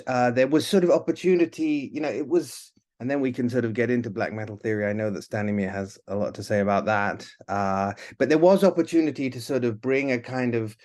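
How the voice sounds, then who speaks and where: A man from the UK who grew up in Italy, talking casually as an interview guest in halting, hesitant phrases. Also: on the low side, darkish tone. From born in the UK, grew up in Italy. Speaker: guest, writer and editor.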